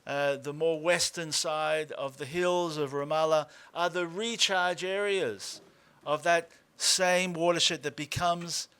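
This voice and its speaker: A man in his fifties with an Australian accent, a co-founder speaking at a lunch talk, halting with many fillers and restarts. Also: a little high, steady tone, sharp tone, clean tone. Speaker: organization co-founder.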